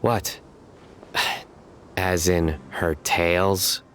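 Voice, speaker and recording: A man voicing a solemn, stoic young desert guardian with a measured, deadpan manner in a video game. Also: low-pitched, a little hiss or hum, exaggerated voice. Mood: puzzled.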